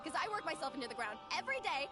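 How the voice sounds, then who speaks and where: A fed-up overworked game heroine. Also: female voice, high voice, sing-song, rapid-fire. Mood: angry. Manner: exasperated, ranting. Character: overworked young woman artist, fed up. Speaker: overworked employee. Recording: loud game music behind.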